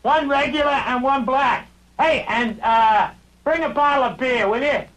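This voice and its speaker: A man's voice: high voice, big pitch swings, a little fast, sharp tone, full character voice, poor audio. An actor playing a skeptical, stubborn construction worker, casual and matter-of-fact as he contradicts his workmate in conversational cartoon dialogue. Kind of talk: improvised conversational dialogue in an animated short.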